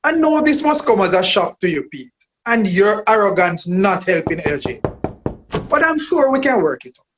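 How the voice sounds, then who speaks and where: A man's voice: high voice, very animated, normal speed, noisy background. An actor playing a reasonable, peacemaking male pastor in a radio drama. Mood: calming.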